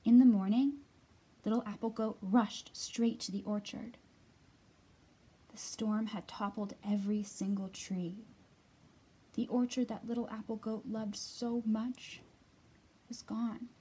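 Woman, thirties, children's storytime reader, highish pitch, husky edge, expressive delivery. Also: unhurried, long pauses, low-quality recording. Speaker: children's librarian and storytime host. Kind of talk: picture book read-aloud and storytime talk for young children.

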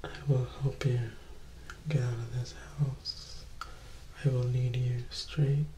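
A young man playing a charismatic, coolly menacing male vampire in a hushed, intimate, coaxing ASMR roleplay. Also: medium pitch, flat delivery, takes it slowly, echoey room, slight background noise, lightly acted. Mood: coaxing, sly. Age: young adult. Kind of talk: ASMR roleplay, whispered and soft-spoken.

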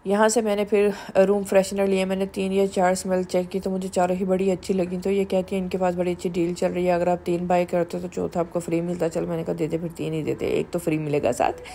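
Woman vlogger, chatty voice-over, low mellow tone. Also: completely flat delivery, fast, vocal fry, few pauses, slight background noise. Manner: chatty, candid shopping commentary with English words mixed in. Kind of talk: casual voice-over vlog narration.

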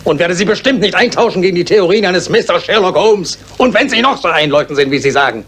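A man's voice: high voice, expressive, fast talker, a bit bright, breathy edge, full character voice. A man acting an old, reclusive retired judge, defensive and fearful, in a German radio crime play. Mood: stern, hostile. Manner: stern, defensive. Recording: faintly noisy old radio play recording.

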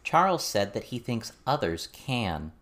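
A man's voice: mid-range voice, very animated, measured pace, fairly bright tone, voice pushed up. A man voicing a fierce young girl in a storybook, blunt, suspicious and challenging. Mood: accusing.

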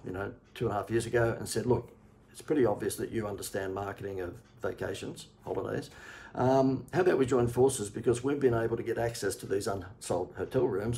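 A man in his sixties with an Australian accent, a marketing consultant giving a chatty, persuasive sales pitch from a home office, often pausing with "uh". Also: gravelly, a little mellow. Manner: chatty, persuasive, many "uh" fillers. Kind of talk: direct-to-camera sales pitch.

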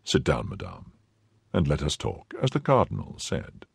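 A man's voice: wildly swooping pitch, vocal fry, slightly rough, no echo, studio quality, heavily acted. A man doing a cold, brooding nobleman. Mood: coldly menacing. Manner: slow, low and menacing, quietly bitter. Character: stern brooding male nobleman, cold and grave.

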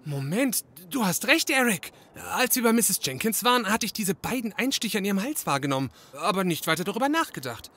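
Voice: mid-range voice, sing-song, a little fast, hardly any pauses, fairly bright tone. A performer playing an eager, short male detective's assistant in a comedy audio drama, earnest and hesitant. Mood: puzzled, eager.

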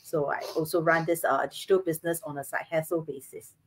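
A Singaporean woman in her thirties speaks from her living room over a webcam, explaining her routine earnestly with plenty of fillers. Her voice is slightly low and her Singaporean English accent is clear. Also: fairly even intonation, quick pace. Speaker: co-host. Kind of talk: casual live-stream chat. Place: home living room, indoors, video call. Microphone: webcam on video call.

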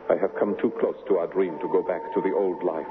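An actor playing a brooding, lovelorn crown prince in a 1970s radio drama, grave, formal and measured. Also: male voice, low-pitched, steady tone, in a large hall, close to an everyday voice. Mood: grave, despairing. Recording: muffled narrow-band old radio, faint noise.

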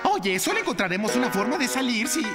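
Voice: mid-range voice, very animated, fast, lightly acted. An actor voicing an anxious, wry male feathered dinosaur in a cartoon dub, fretful and dramatic. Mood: nervous, wry. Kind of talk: animated series dub. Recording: reverberant, loud music behind.

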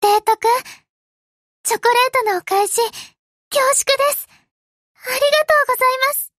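A woman's voice: very high-pitched, moderate pace, fairly bright tone, no room sound, clean studio sound. A woman voicing a sweet, polite young anime girl in a game, with a cutesy, careful manner. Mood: grateful, happy. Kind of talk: Japanese mobile game character lines.

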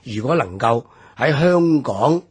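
A man with a Hong Kong Cantonese accent talks through history on a radio program. His voice is very raspy and strained, yet his pitch rises and falls in wide swings as he explains. He pauses often on fillers while he builds his points. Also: expressive. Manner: measured, explanatory, many fillers. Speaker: history commentator. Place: radio studio.

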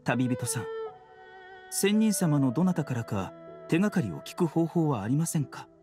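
A man voicing a gentle, composed young healer in an anime game, speaking politely and formally. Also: a little high, sing-song. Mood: mild, pleasant. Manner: polite, soft-spoken, unhurried, formal. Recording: studio voice over background music.

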